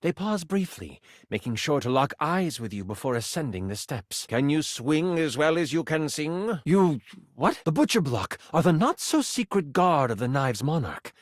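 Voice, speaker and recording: An eager, friendly game master from a video game, narrates a tabletop adventure with dramatic flair. His fairly high male voice swings widely in pitch as he tells the story, and the sound is close and dry. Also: moderate pace, no room sound. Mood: dramatic storytelling. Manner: expressive, dramatic storyteller delivery. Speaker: game character voice actor.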